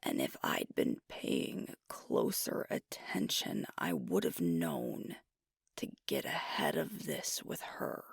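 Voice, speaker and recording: Woman acting a whispering, gravelly alpha werewolf girlfriend. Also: a bass voice, no echo, clean studio sound, full character voice. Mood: self-doubting. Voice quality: breathy, whispery. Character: female alpha werewolf pack leader, frustrated, doubting, conscientious girlfriend. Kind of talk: ASMR roleplay monologue, whispered and intimate. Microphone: close microphone.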